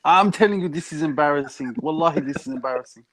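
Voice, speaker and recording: A man's voice: fairly high pitch, fast talker, crowd noise, low-quality recording. A man on a panel, talking in a casual, slangy, teasing way as he warns a friend off. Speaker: panel guest. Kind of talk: casual banter in a panel debate.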